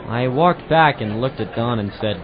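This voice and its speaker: An actor playing a hard-boiled, wisecracking private eye in an old-time radio detective drama, with a wry, sardonic delivery. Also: male voice, medium pitch, moderate pace, a crowd in the background, lightly acted. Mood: narrating dryly. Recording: muffled amateur radio-drama recording with music.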